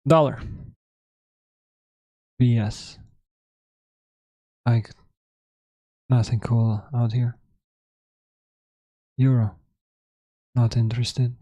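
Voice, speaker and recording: A man with a sore, hoarse throat hosting a live trading stream, thinking aloud in halting, self-correcting commentary. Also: mid-range voice, little pitch movement, mellow, dry recording. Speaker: trading livestream host.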